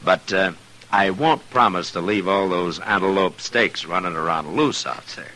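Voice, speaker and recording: An American actor playing a watchful, weary frontier marshal in an old-time radio western. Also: male voice, deep voice, moderate pace, sharp tone. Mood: relaxed, teasing. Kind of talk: 1950s western radio drama acting. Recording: noisy old radio broadcast recording.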